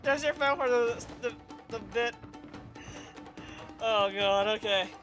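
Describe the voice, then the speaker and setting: A streamer commentates casually on a game at a slow, unhurried pace. The talk is offhand and reactive, with loud game music behind it. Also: mid-range voice. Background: loud video game music.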